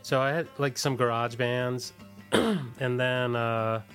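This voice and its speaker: A man's voice: mid-range voice, steady tone, slow pace, a bit bright, faint music behind. A middle-aged American man chatting casually as an interview guest, in a loose, hesitant, wandering way. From Washington, D.C., United States. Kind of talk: casual chat. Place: radio studio.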